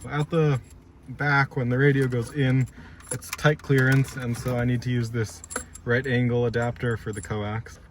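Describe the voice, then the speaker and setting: Guy with average pitched voice doing a step by step diy walkthrough in a car, kinda noisy background. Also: little pitch movement, moderate pace. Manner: methodical, explaining step by step while working. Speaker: DIY installer presenting his project. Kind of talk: casual how-to walkthrough. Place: inside a car. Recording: clear background noise inside a car.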